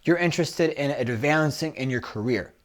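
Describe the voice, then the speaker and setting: A man coaching someone one-on-one for a job interview, giving firm, repetitive instructions over a video call. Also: medium pitch. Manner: directive, repeats points, quick asides. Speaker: career coach.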